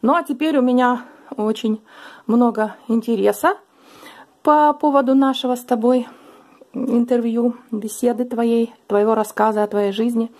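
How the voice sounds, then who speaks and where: A woman's voice: fairly high pitch, steady tone, normal speed, darkish tone. A middle-aged woman hosting a casual, chatty interview at a friend's home, with an affectionate way of talking, recorded on a camera across the table. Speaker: vlogger host. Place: small living room at home.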